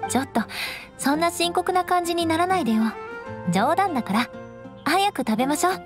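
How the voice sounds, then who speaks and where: A haughty cool-headed lady knight from an anime game, highish voice, music clearly behind. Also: animated, brisk. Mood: dry, composed. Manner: haughty, composed, dry teasing. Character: proud, cool-headed young female knight shunned as noble outcast. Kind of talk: Japanese anime game voice acting. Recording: studio voice over game music.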